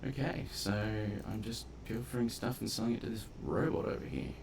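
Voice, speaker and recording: A man's voice: on the low side, big pitch swings, brisk, gravelly, background noise. A man giving casual gameplay commentary, thinking aloud in a laid-back, colloquial mutter. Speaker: gameplay video host.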